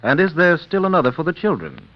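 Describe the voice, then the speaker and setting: A man's voice: fairly high pitch, very animated, fast. A man announcing a scripted old-time radio commercial with a polished, persuasive delivery. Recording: dull old radio recording, music.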